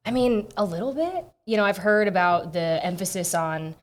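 A young woman co-hosting a podcast, speaking casually and thoughtfully, often pausing with fillers. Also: mid-range voice, slight background noise. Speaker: podcast co-host. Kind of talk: casual chat.

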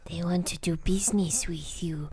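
Low-voiced woman, deadpan player. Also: normal speed, slight background noise. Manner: deadpan, refers to self in third person.

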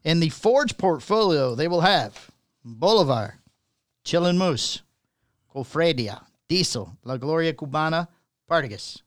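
A man co-hosting a talk show, reading news items aloud in a casual way and often halting to find his place. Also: fairly high pitch, lively intonation, dry recording, studio quality. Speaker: podcast co-host reading news.

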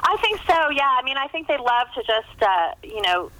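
Middle-aged American woman, bright voice, guest author, chatty and rambling, casual interview delivery over the phone. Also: high voice, very animated, moderate pace, no room sound, rough recording. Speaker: novelist being interviewed.

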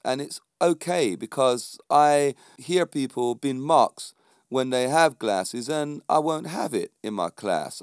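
Clear-voiced middle-aged London man. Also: mid-range voice, no room sound, studio quality. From London, England. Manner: conversational, emphatic, checks in with rhetorical questions. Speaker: fitness instructor. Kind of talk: informal motivational monologue to viewers.

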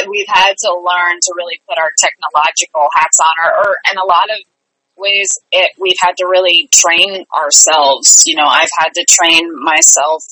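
Woman, bright forceful tone, slightly low pitch, enthusiastic theatre director, conversational interview answers. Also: dry recording, rough recording.

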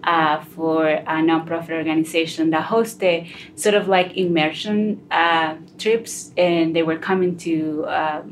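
A young woman from Ecuador speaks, carefully and with many pauses and fillers. Her voice is fairly low. A faint hiss sits beneath the recording. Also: fairly even intonation, low-quality recording. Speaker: graduate student guest. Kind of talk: conversational interview answers.

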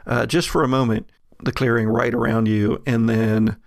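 A male game master narrates an improvised horror scene. His medium-pitched voice is thick with creak and sits dry and close. He halts and pauses mid-sentence as he builds suspense. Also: little pitch movement, dry recording.

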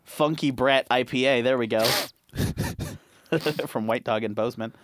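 A man's voice: mid-range voice, measured pace, slight background noise. A man co-hosting a podcast, chatting casually and laid-back, swearing freely and laughing often. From Montana, US. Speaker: podcast co-host.

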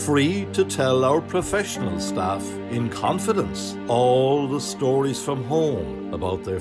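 A man playing a soothing, deadpan announcer in a parody radio advert. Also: lowish voice. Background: guitar music.

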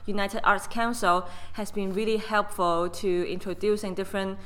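A woman's voice: mid-range voice, fairly even intonation, normal speed, fairly bright tone. A young woman with a Hong Kong accent, a guest costume designer explaining her work in a podcast interview with frequent ums.

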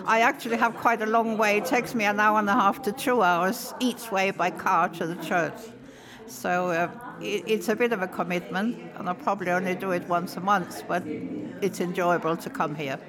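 An older woman born in Denmark, a native Danish speaker, talking in a friendly, chatty way with little hesitations. She is being interviewed in a church hall after a service, with a crowd chattering clearly behind her. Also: medium pitch, steady tone, moderate pace. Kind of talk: casual interview chat.

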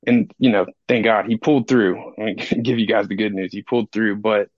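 A man with a cold, speaking in a raspy, hoarse voice, telling his story reflectively on a casual podcast, with many fillers. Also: fairly low pitch, steady tone, brisk, dry recording, lo-fi sound. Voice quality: raspy, gravelly. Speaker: podcast co-host. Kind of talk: casual recovery podcast talk.

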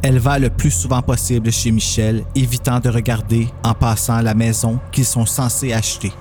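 A man's voice: medium pitch, flat delivery, moderate pace, darkish tone, soft background music. A man narrating a scripted horror story as a podcast host.

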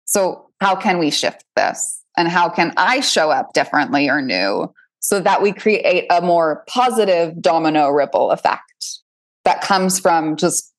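A woman coach talks over a video call in an earnest, encouraging way. Her voice sits somewhat low for a woman. She often acts out her own thoughts as quoted lines. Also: expressive, a little slow. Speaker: parenting coach, guest. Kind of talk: casual conversation on a video call.

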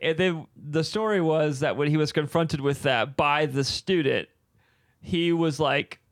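Young male co-host, loose storyteller who laughs easily, slightly high voice, dry close mic. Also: a little slow, no echo. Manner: rambling storyteller, laughs often, lots of fillers. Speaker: podcast co-host. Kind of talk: casual chat.

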